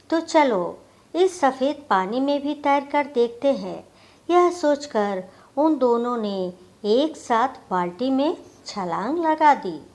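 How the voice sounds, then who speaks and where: A woman's voice: high voice, a little mellow. A woman narrating a scripted moral story for children.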